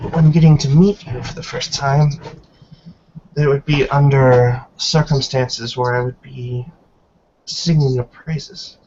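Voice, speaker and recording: A man voicing a stern, composed elderly matriarch in a tabletop roleplay, speaking in a calm, formal, measured way. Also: mid-range voice. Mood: stern disappointment. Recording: dull, noisy online call audio.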